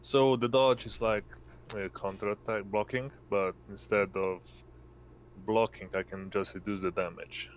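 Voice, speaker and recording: A man's voice: fairly low pitch, fairly even intonation, a little slow, a little hiss or hum. A man chatting casually as a player in a tabletop roleplaying game.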